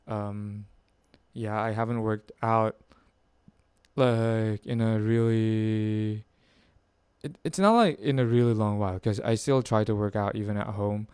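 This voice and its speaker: A man in his twenties from the Philippines rambles through a personal update, pausing often and correcting himself. His voice sits a little low and comes across very clean. It is recorded close and dry, with no room sound. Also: fairly even intonation, darkish tone, clear, resonant tone, dry recording. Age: 20s. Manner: hesitant, full of fillers and self-corrections. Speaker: vlogger. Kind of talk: rambling personal chat to camera.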